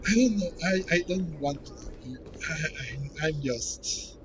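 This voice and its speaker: A man giving casual let's play commentary, haltingly, repeating words as he searches for phrasing. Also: a little high, music in the background. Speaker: gaming streamer.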